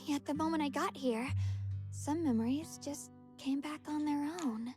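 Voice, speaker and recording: Reflective young girl for a story game, gentle, high-voiced, over plucked guitar. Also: a little slow. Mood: nostalgic, wistful. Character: wistful, gentle young girl remembering her father. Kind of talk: video game story dialogue. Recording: guitar music behind the voice. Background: plucked guitar music.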